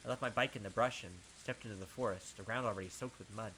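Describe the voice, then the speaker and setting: Young male let's player, bright and pushy tone, dramatic game-text reading with excited asides. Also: lowish voice, quick pace, a little hiss or hum, low-quality recording. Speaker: let's play gamer. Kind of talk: reading a visual novel aloud with commentary.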